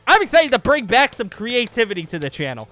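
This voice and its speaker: A man giving a casual gaming channel update as host, rambling along in an excitable, emphatic way. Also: very high voice, fairly quick. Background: background music.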